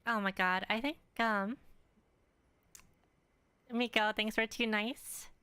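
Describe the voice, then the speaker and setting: A woman's voice: on the high side, fairly even intonation, slow, sharp tone, clear, resonant tone, no echo. A young woman giving casual, chatty, reactive commentary as she plays through a game. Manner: informal, reactive. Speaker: game streamer. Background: game music.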